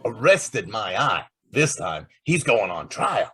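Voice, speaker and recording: An actor playing a brash, impatient star reporter in an old-time radio drama, with a blustery manner. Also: male voice, fairly low pitch, very animated, slow pace, a bit bright, husky, exaggerated voice. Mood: eager.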